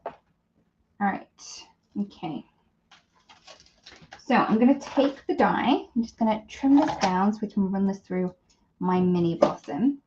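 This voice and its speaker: A woman's voice: medium pitch, a little slow, long pauses, darkish tone, highs cut off, a little hiss or hum. A woman hosting a live craft tutorial in casual chat, thinking aloud as she works, with a self-deprecating streak. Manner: chatty, thinking aloud while working. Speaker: host of a live papercraft demonstration.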